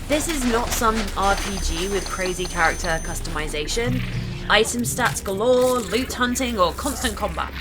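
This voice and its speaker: A woman's voice: mid-range voice, normal speed. A woman presenting a scripted gameplay walkthrough in an upbeat, conversational manner with wry asides. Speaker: game publisher team member presenting gameplay. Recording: music behind the voice.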